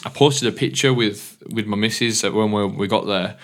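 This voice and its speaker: A man's voice: lowish voice, moderate pace. A man telling stories in casual chat, swearing and rambling as he acts out who said what. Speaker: DJ and podcast host.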